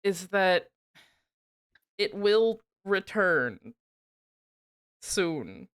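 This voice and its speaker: A woman at a tabletop fantasy game speaks in a medium-pitched voice at a slow pace. She is casual and deliberate, thinking out loud as a player weighing options. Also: very animated, clean tone.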